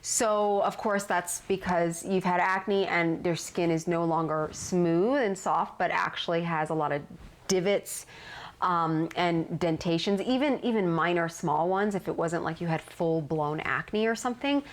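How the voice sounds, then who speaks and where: Woman skincare vlogger, lowish voice, a bit stuffed up from a cold, earnest explainer. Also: a little slow. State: nasal from a cold. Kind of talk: casual instructional talk.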